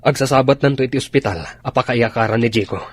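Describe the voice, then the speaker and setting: A male actor plays a grave army officer with an Ilocano accent. His voice is mid-pitched and husky, commanding yet concerned, as in radio drama. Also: fast, lightly acted.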